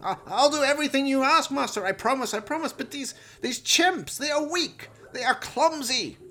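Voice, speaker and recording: Shrill, groveling mad scientist. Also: male voice, normal speed, sharp tone. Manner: pleading, melodramatic exclamations. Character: groveling, degenerate mad scientist, excitable and fiendish. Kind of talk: comic horror radio play acting.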